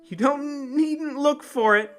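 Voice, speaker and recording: Man putting on a high-pitched, pleading young wife. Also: slow, a bit bright, faint music behind, exaggerated voice. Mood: pleading, earnest. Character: Della, tender, eager, pleading young wife.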